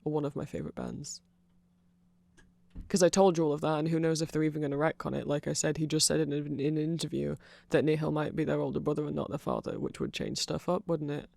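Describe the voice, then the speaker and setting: A performer voicing a nerdy, apologetic vampire shopkeeper in a casual ASMR roleplay, rambling hesitantly and excitably to a friend. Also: medium pitch, quick pace, vocal fry, no echo. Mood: sheepish, friendly, then earnest, rambling.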